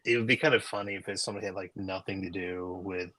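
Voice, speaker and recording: A man's voice: deep voice, fairly even intonation, quick pace, pushed and bright. A middle-aged man co-hosting a podcast, trading casual comedic banter. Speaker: podcast co-host.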